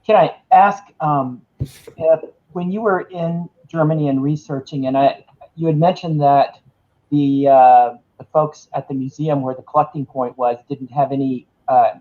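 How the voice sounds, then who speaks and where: A man's voice: fairly high pitch, steady tone, measured pace. Guy interviewing an author, lots of uh and um, muffled lo-fi audio. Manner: hesitant, many fillers. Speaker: interviewer at a book launch. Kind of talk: interview questions. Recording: dull lo-fi sound.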